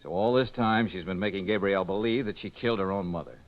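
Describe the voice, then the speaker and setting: An American actor playing a breezy, cocky private detective in a 1940s radio drama, with casual, teasing banter. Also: male voice, deep voice, quick pace. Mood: wry, confident. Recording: dull 1940s radio recording.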